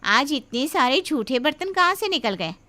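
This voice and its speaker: Woman acting a bright, high-pitched young woman. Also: sing-song, normal speed, full character voice. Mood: puzzled. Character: women of a family story: neighbour, eager young bride. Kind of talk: animated moral storybook acting.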